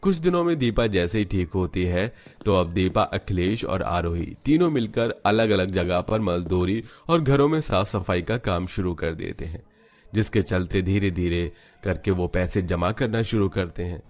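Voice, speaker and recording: A man with a deep voice narrates an animated family story. His delivery is scripted storytelling, set in a low register. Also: moderate pace.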